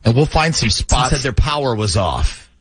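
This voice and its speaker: A man's voice: medium pitch, big pitch swings, moderate pace, a bit bright, breathy edge, poor audio. A man hosting a casual morning radio show, talking through a prank call.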